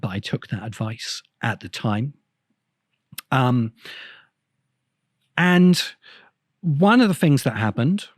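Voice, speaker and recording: A man talking through an unscripted video essay in a mid-pitched voice. His intonation moves widely, and he explains deliberately, leaning on pauses for emphasis. The sound is close and dry. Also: no room sound. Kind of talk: unscripted spoken commentary.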